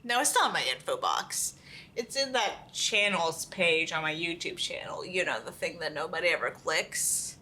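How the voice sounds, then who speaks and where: A woman's voice: fairly low pitch, animated, moderate pace, bright, forceful tone, a little hiss or hum. A woman reading a book aloud as an online creator, with an irreverent, exasperated manner and casual, sweary asides.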